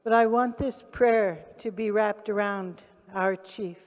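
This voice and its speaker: Woman, oklahoma accent, solemn stage speech, very slow and measured, smooth clear voice. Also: mid-range voice, steady tone. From Oklahoma, USA. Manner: solemn, reverent. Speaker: guest speaker. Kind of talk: speech at a live event, paused for interpretation. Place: large event hall on stage. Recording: long reverb of a large hall.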